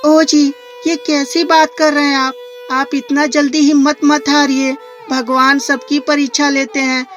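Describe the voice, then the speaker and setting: A woman voicing a caring, practical farmer's wife in a cartoon moral story, expressive and questioning. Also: sits high, unhurried, voice pushed up. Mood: concerned, consoling. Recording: music behind the voice.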